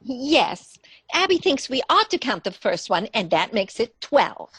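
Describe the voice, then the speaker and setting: An actress playing a sweet, dotty elderly aunt in a comedy radio play, genteel and cheerfully innocent. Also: female voice, on the high side, very animated, measured pace, a bit bright, husky, no room sound, full character voice. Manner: genteel, chirpy, soothing. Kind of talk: stage comedy radio theatre acting.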